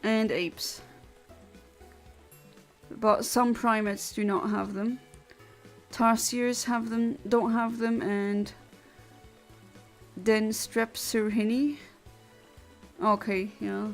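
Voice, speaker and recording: Woman, gravelly fry, blunt and literal, casual streamer chat. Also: on the high side, little pitch movement, extremely slow, pauses often, soft background music. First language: Luxembourgish. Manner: blunt, literal and chatty, with many restarts. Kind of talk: casual chat answering a livestream chat.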